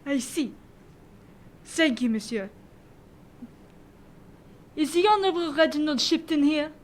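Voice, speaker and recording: A woman's voice: sits high, animated, measured pace. A woman acting a stern, formal French prefect of police questioning witnesses in a radio drama. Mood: measured, formal. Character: stern, fair-minded French prefect of police presiding over a trial.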